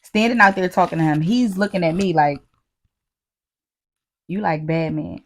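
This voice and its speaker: American woman w kinda low voice, cussing and slangy, telling a story on a podcast. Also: moderate pace. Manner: slangy, profane, animated retelling with quoted asides. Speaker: podcast co-host. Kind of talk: casual chat, storytelling.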